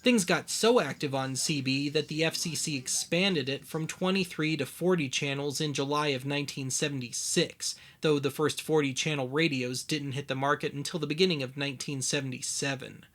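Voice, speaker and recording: Wry male narrator, slightly high voice. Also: normal speed, few pauses, a bit bright. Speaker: host and narrator. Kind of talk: scripted documentary narration with dry humour.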